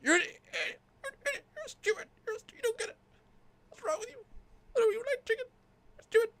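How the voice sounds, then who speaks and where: A man's voice: very high voice, expressive, fast talker, a bit bright, pitched above the performer's own voice, heavily acted. A man voicing a grumpy male puppet, a former Shakespearean actor stuck with a put-on Southern colonel drawl, theatrical and insulting. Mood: furious. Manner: theatrical, insulting, self-pitying bluster. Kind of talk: comic puppet bit on a livestream.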